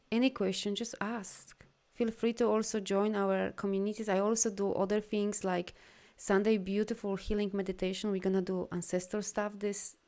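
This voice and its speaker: A woman's voice: dark tone, clean tone. Polish woman, live-stream healer, earnest and affirming, voice lifts when emphatic, accented English. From Poland. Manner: heartfelt, affirming, rises in pitch and volume when emphatic. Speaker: energy healer and coach hosting a live video. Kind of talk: live stream talk answering viewer comments.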